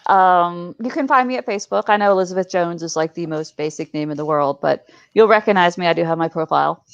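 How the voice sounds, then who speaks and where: A woman's voice: lowish voice, fast, gravelly, clear, resonant tone, no echo. A woman chatting casually as a panelist in a self-deprecating way, on dull online-call audio.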